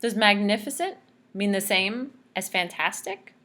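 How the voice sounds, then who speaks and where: A woman reading a story aloud to children in a teacherly, expressive way, pausing to ask questions. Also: mid-range voice. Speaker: speech therapist reading aloud.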